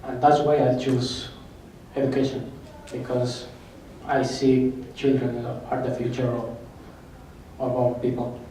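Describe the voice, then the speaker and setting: Shy young man with a mellow voice. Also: mid-range voice, flat delivery. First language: Spanish. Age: young adult. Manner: shy, halting. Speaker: student panelist. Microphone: handheld mic. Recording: echoey room from far off, faint noise.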